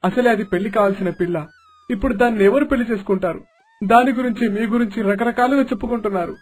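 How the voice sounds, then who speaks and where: A man's voice: high-pitched, fast talker, slightly rough, voice pushed up. A man voicing a humble, anxious, lamenting village father in a cartoon moral story. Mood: distressed, pleading. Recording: dialogue over background music.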